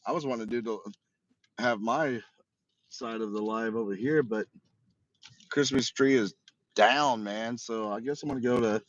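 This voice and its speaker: A man chatting casually as livestream co-host, laid-back with drawn-out fillers. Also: medium pitch, dry recording. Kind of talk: casual chat. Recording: dull lo-fi mic.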